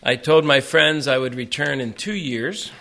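An American man preaching to a congregation in short phrases, pausing for an interpreter after each one. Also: mid-range voice, gravelly, sharp tone. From United States. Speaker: visiting preacher.

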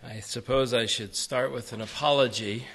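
An American man preaching to a congregation in short phrases, pausing for an interpreter after each one. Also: medium pitch, steady tone, a bit bright, breathy edge. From United States. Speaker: visiting preacher.

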